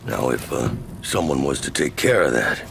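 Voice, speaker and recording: An actor playing a gruff, folksy older war veteran marshal in a video game, with an American accent and a wry, slangy drawl. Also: male voice, a bass voice, lively intonation, normal speed, fairly bright tone, a little hiss or hum, heavily acted. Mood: wry, weary. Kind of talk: video game cutscene acting.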